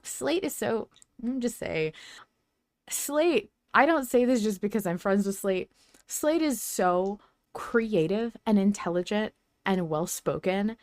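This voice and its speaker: Female fan-podcast host, slightly low register, effusive delivery, dry sound. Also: no room sound. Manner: effusive, gushing praise. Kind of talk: casual fan podcast commentary.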